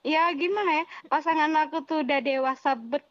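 A young woman speaks in a very high, shrill voice over dull, lo-fi call audio. She chats informally as a podcast guest and likes to joke. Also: brisk, fairly bright tone, no room sound. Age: young adult. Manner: joking, informal. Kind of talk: casual chat.

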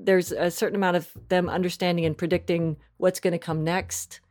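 A woman's voice: lowish voice, level tone, a little fast, darkish tone. A woman chatting casually and emphatically as an interview guest about her creative work. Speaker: interview guest, audio fiction writer and producer.

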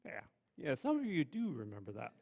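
A man preaching a sermon in a measured, conversational way with wry asides. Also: mid-range voice, huge pitch swings, creaky, a little mellow, lo-fi sound. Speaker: pastor.

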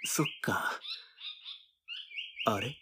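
A man's voice: drawn-out words, sharp tone, a little hiss or hum. An actor playing a mild, easygoing young man in a Japanese audio drama, speaking in a hesitant, musing way. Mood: musing, puzzled.

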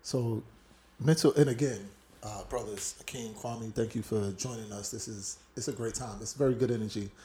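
A man's voice: fairly low pitch, moderate pace, dark tone, roomy sound, a little hiss or hum. A man from Brooklyn, co-hosting a podcast and telling personal stories in an earnest, reflective way. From Brooklyn, New York. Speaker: podcast co-host. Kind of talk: casual podcast chat.

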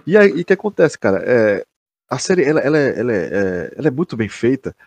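A man's voice: mid-range voice, sing-song, quick pace, darkish tone. A Brazilian man chatting casually and enthusiastically as a podcast host, slangy and emphatic, with some swearing. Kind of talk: casual chat, enthusiastic review.

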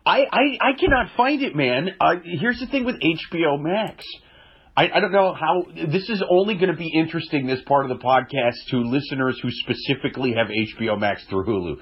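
A young man with a cold and a nasal voice, chatting casually on a podcast with dry deadpan jokes. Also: fairly high pitch, fairly quick, breathy edge. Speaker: podcast co-host. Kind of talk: casual chat. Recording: dull lo-fi audio.

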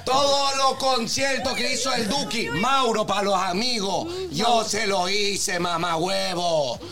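A man voicing a brash, flirty male music manager with a Puerto Rican accent, boastful and quick to take offense on a comedy stream. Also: high voice, pitched above the performer's own voice. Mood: indignant. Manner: boastful, indignant, slangy. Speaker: comedy character on a streaming show.

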